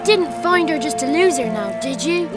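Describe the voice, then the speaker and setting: A woman's voice: sits high, expressive, moderate pace. A woman voicing a small, cheeky, protective childlike girl in a video game, forthright and scolding. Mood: grudging, cautionary. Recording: loud music behind the voice.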